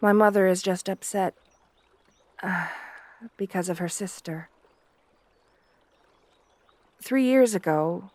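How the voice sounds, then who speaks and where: A woman playing a shy, gentle, devoted young princess bride in an ASMR roleplay, speaking softly and hesitantly, with deference. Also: fairly low pitch, no room sound, lightly acted.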